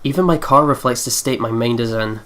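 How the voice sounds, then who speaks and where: A man's voice: mid-range voice, fairly quick, a bit bright, a little hiss or hum. Man reading a story game aloud, jokey. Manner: sweary, jokey. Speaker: let's player. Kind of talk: reading visual novel aloud with casual joking commentary.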